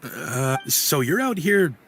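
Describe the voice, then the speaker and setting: A man's voice: on the high side, sing-song, slow, creaky, fairly bright tone, a little hiss or hum, full character voice. A man playing a wary townsman in an anime game, hesitant and skeptical.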